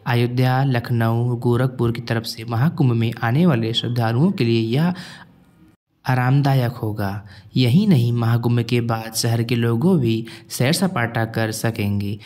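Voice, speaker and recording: Guy reading out a news update for his channel, mid voice, steady formal tone. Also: medium pitch, a little slow. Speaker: YouTube channel host. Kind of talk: read-out news update voice-over.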